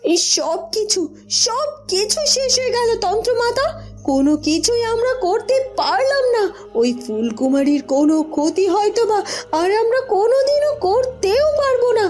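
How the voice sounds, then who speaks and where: Shrill-high, jealous young villainess. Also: female voice, big pitch swings, normal speed, a little mellow, soft background music. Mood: despairing, bitter. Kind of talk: Bengali cartoon voice acting.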